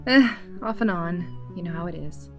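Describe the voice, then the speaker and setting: A woman's voice: lowish voice, moderate pace, faint music behind, natural voice. An actress playing a weary, guarded young mother, curt with her own parent, in an audio drama. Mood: tired, offhand. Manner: curt, unenthusiastic.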